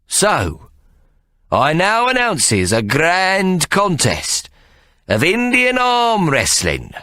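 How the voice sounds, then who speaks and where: A man's voice: high voice, wildly swooping pitch, very slow, sharp tone, no echo, studio quality, pitched above the performer's own voice, heavily acted. A man voicing a sly, scheming male showman who announces grandly and wheedles. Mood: grand announcing.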